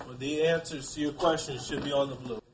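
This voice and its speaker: A man's voice: mid-range voice, fairly even intonation, fairly quick, noisy background. A man teaching a class, reading aloud and prompting pupils with questions. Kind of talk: interactive classroom read-aloud and discussion.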